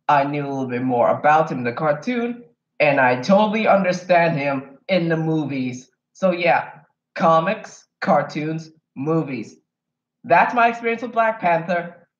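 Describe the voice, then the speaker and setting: A man from Kenya talking casually and enthusiastically to the camera, rambling and wandering off his point now and then. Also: on the high side. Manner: enthusiastic fan, emphatic. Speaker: vlogger. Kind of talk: casual movie review monologue. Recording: echoey room, dull lo-fi sound.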